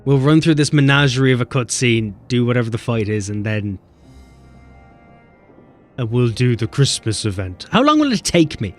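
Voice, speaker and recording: A man's voice: mid-range voice, faint music behind. A man streaming a game, chatting casually and swearing as he bickers with chat. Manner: animated, swearing, quick banter with chat.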